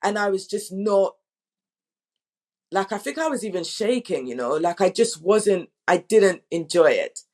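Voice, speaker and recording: A woman's voice: medium pitch, expressive, measured pace, darkish tone. A woman speaking as a drama director, telling candid anecdotes in an informal, emphatic way and acting out quotes.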